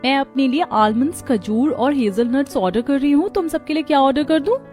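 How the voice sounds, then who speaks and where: A woman voices a spoiled young daughter in a storybook moral tale. She is carefree and coaxing, with a girlish, raised pitch. Faint music plays behind her. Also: a little fast, darkish tone, lightly acted. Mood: casual. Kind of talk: Hindi moral story voice acting.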